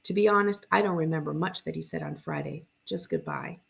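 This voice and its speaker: A woman narrates a first-person storybook aloud. Her pitch is on the low side, with very heavy creak. The reading is expressive, in a librarian's read-aloud style. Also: brisk.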